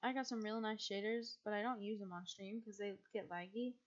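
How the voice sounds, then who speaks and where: A young woman from the United States, chatting casually and rambling as she streams a game, with a husky, quiet voice and frequent laughs. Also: medium pitch, steady tone, a little fast, muffled recording.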